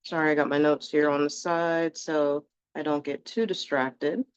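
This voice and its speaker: A woman's voice: low-pitched, fairly even intonation, normal speed, clear, resonant tone, highs cut off. A woman doing a casual, rambling tarot reading in a chatty, reassuring way, a little sniffly from allergies. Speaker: tarot reader.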